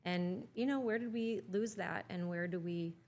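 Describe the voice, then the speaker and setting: A woman's voice: fairly low pitch, moderate pace, a little mellow. A woman hosting a podcast interview at a wine bar, encouraging her guest with frequent short affirmations. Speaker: podcast host. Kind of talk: casual interview.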